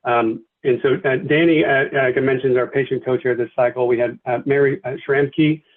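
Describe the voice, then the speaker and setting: A man's voice: medium pitch, fairly even intonation, brisk. A man facilitating a virtual committee meeting, thanking people and walking through the agenda with many fillers and restarts. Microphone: video call. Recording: tinny phone-like video call audio.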